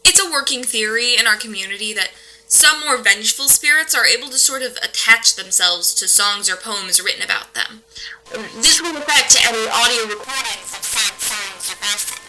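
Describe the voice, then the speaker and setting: A woman playing a brisk, earnest paranormal investigator who speaks urgently in a horror audio play. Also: fairly high pitch, lively intonation, noisy background, close to an everyday voice. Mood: scolding, explaining. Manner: urgent, matter-of-fact, know-it-all. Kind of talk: audio play acting, naturalistic horror dialogue.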